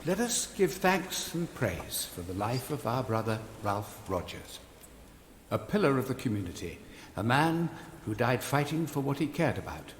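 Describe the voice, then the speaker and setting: A man's voice: mid-range voice, very animated, normal speed, a little hiss or hum, natural voice. A man playing a solemn minister giving a funeral address in an audio drama. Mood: solemn, reverent. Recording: echoey hall, background ambience.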